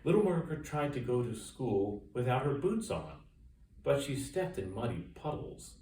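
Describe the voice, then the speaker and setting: A man reading a children's story aloud with expressive, dramatic emphasis. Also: medium pitch, normal speed. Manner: expressive, dramatic emphasis and sound-effect words. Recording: roomy echo, distant mic, faint noise.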